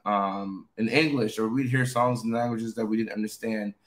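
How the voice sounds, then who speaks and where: A man's voice: fairly low pitch, normal speed. A man in his thirties who grew up in Norway, hosting a casual live chat about mental health with easy, affirming talk. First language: Norwegian. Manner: relaxed, affirming, frequent backchannels. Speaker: host. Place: living room at home.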